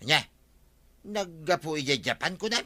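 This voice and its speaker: An indignant village schemer who wants his share of aid. The voice is very sharp and forceful, fairly high and dryly recorded, with an Ilocano accent and a complaining radio comedy delivery. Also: expressive, moderate pace, dry recording, full character voice. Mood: indignant, wheedling. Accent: Ilocano Filipino. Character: aggrieved, scheming village man demanding storm aid. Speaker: actor in a radio comedy drama. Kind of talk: Philippine radio comedy drama acting.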